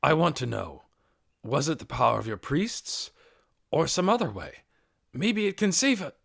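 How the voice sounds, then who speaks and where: A man voicing a blunt, pragmatic male lizardman warrior in a fantasy audiobook, with a dark tone and firm, deliberate delivery. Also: on the high side, very animated, creaky, husky, no echo, studio quality, pitched above the performer's own voice, exaggerated voice. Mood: probing, urgent. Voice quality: dark-toned.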